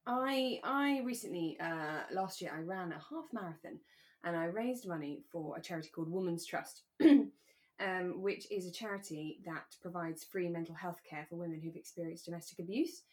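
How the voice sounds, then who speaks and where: A woman co-hosting a podcast, talking in a relaxed, earnest and reassuring way. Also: on the low side, normal speed. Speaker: podcast co-host. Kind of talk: relaxed discussion of a radio drama.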